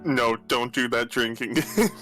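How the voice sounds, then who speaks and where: A man's voice: medium pitch, a little slow, a bit bright. A man in casual, joking banter as a co-performer on a live stream. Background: background music.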